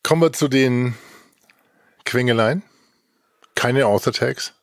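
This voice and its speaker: A man's voice: mid-range voice, slow, no room sound, clean studio sound. A man co-hosting a podcast in casual chat, informal and dropping in English phrases. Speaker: podcast co-host and reviewer.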